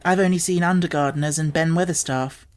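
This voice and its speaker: A man's voice: on the high side, fairly even intonation, fairly quick, natural voice. A man voicing a stubborn, eager little girl in an audiobook, speaking with earnest determination. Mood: anxious.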